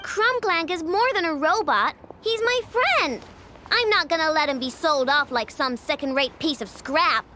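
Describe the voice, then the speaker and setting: A woman's voice: sits high, animated, moderate pace, bright, forceful tone. A woman voicing a small, brash, stubborn girl inventor in a video game, blunt and indignant. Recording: clear background noise, game ambience.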